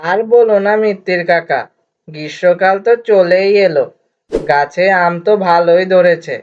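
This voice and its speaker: A woman playing a worried, grumbling older male villager in an animated cartoon, complaining anxiously. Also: lowish voice, normal speed, slight background noise, close to an everyday voice. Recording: dull lo-fi cartoon soundtrack. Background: faint music.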